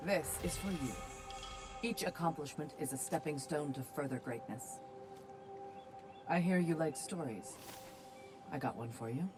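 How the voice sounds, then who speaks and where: A woman's voice: low voice, a little slow, long pauses, music in the background. An actress playing a tough, blunt, proud warrior woman telling stories in a video game. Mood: proud, frank. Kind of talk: video game cutscene acting.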